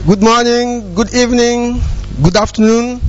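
A man's voice: very high-pitched, steady tone, unhurried. A man making an earnest, declamatory speech of introduction as a local coordinator. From born in Cameroon, family from Imo State, Nigeria. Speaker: newly appointed local coordinator. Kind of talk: short speech of introduction and thanks. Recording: dull sound with faint hum.

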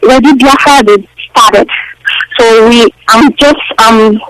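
A woman from Nigeria calling into a radio show, talking in halting bursts with many fillers over a phone line. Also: a little high, fairly bright tone, slight background noise. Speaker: radio call-in caller. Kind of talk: phone-in chat.

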